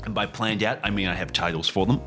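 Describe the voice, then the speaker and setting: Low-voiced Australian man hosting casually. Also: fast talker, music in the background. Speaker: host and game master. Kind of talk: casual podcast introduction.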